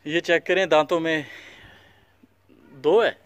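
A man's voice: on the high side, steady tone, slow pace. Man touring his cattle farm. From Pakistan. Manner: plain, repetitive pointing out of each animal's features. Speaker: cattle farm owner showing animals for sale. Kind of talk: casual walkthrough vlog. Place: outdoor dusty farmyard.

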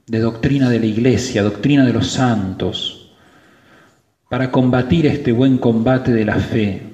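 A priest preaching a sermon at Mass, slow and emphatic, stressing key words. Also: male voice, mid-range voice, a little mellow. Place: church. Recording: roomy church with long reverb.

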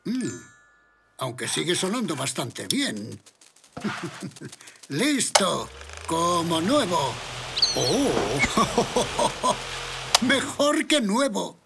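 A man playing a kindly, playful old grandfather zebra in a children's cartoon dub, gently jovial and full of exclamations. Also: high-pitched, big pitch swings, extremely slow, creaky, long pauses, background noise, exaggerated voice. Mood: helpful, cheerful.

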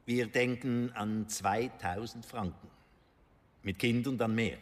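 An actor playing an older banker in a radio drama, persuasive and condescending, with measured phrasing. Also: male voice, medium pitch, fairly even intonation, big-room sound, close to an everyday voice. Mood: businesslike. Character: smooth older male banker, persuasive, patronizing, discreet.

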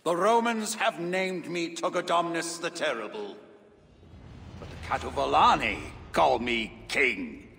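A gravelly, proud ghost king. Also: a little high, expressive, measured pace, pushed and bright, in a large hall, heavily acted. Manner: grave, boastful declamation. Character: proud defiant ancient British king ghost.

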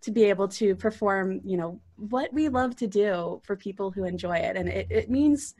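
Young woman, mid-pitched, chatting casually. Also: moderate pace, a little mellow. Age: young adult. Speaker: podcast voice actor and panelist. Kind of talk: casual chat, thanking fans. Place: indoors, on a video call.

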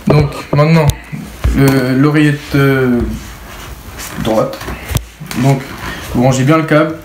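A man's voice: medium pitch, fairly even intonation, takes it slowly, darkish tone. A young man co-presenting a casual hands-on tutorial indoors. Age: young adult. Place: indoors in a room. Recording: roomy echo, clear background noise.

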